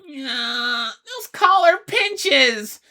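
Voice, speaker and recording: Whiny little monster cat. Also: male voice, extremely high pitch, very animated, drawn-out words, bright, forceful tone, voice pushed up, exaggerated voice. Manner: whining.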